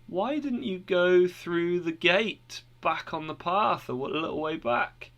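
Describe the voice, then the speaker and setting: Guy doing a earnest pilgrim, urgent and pleading, a bit higher than his own voice. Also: lively intonation, slow pace, fairly bright tone, full character voice.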